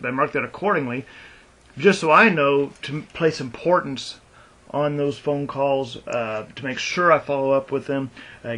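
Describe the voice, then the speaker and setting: A man giving an informal explanatory video talk as an instructor, halting and often correcting himself as he goes. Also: medium pitch, pushed and bright, slight background noise. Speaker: instructor and business owner.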